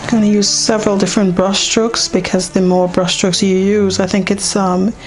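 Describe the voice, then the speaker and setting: A woman with a hoarse, partly lost voice, casually talking a beginner through a painting step by step, unhurried and with many ums. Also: medium pitch, level tone, soft background music, low-quality recording. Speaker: painting teacher.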